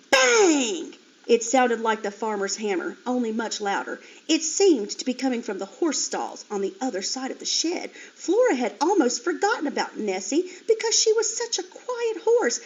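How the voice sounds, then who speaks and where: A woman teacher reading a children's chapter book aloud with expression. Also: fairly high pitch. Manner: expressive, animated. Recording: dull lo-fi recording.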